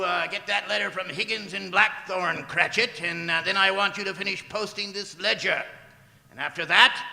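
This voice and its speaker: An actor playing a grim, tight-fisted old miser in a stage radio drama, barking and scornful. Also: male voice, sits high, exaggerated voice. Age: older. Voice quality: creaky, sharp. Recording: live theatre hall with long reverb.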